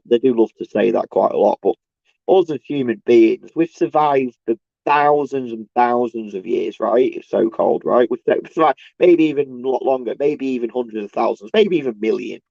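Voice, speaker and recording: A man with a croaky, creaking voice worn by a cold and tiredness talks off the cuff to his viewers. His tone is mellow and dark, and he often stumbles, repeats himself and swears as he rants. The sound is dull and lo-fi, with little room around it. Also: medium pitch, dry recording. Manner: halting, repetitive, searching for words. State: sore throat from a cold. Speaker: solo video host. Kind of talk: casual rambling monologue to camera.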